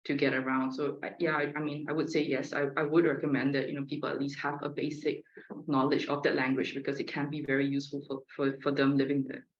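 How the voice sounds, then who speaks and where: A woman's voice: extremely low pitch, little pitch movement, quick pace. A woman speaking as a psychologist on a panel, hesitant, with many fillers and restarts. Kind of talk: panel talk. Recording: echoey, muffled call audio.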